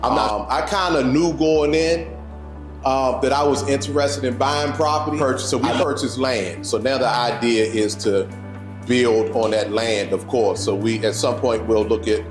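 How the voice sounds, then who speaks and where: Male testimonial, relaxed, echoey room, music behind. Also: mid-range voice, moderate pace.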